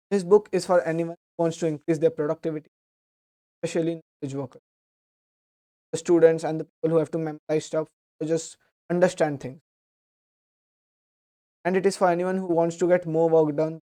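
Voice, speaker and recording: A young man, slightly hoarse from a sore throat, explaining a book summary in a steady, earnest way. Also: fairly high pitch.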